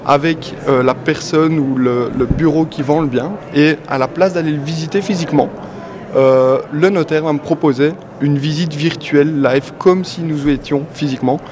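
A man with a Belgian French accent, answering an interviewer as a company guest. He talks with enthusiasm and a sales pitch, with many "euh" fillers. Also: moderate pace, crowd noise. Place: event venue, among people. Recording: clear background noise.

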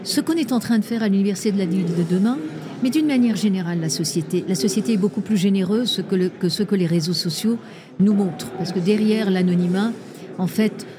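A woman's voice: medium pitch, a little fast, background noise. A woman originally from Iran, a guest in an interview, speaking earnestly and persuasively, laying out figures with care. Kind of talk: conversational interview.

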